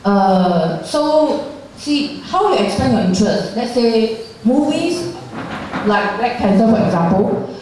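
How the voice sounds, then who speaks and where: A woman's voice: a little high, lively intonation, slow pace, a little mellow, a little hiss or hum. Rambling woman teaching in an echoey room. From Singapore. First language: not Mandarin. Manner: rambling, with frequent fillers and tag questions. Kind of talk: classroom lecture with slides. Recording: very echoey room, far from the mic.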